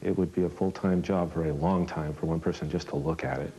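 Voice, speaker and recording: A man comments as an expert in an interview. He has a very deep voice, and music sits behind him. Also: steady tone, brisk, warm tone, muffled recording. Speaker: film archive expert.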